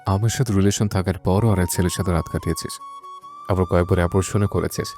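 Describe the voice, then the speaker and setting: A man's voice: sits low, fairly even intonation, fast talker, a little mellow, faint music behind. An actor playing a cold, contemptuous injured young man in an audio story, scornful and commanding.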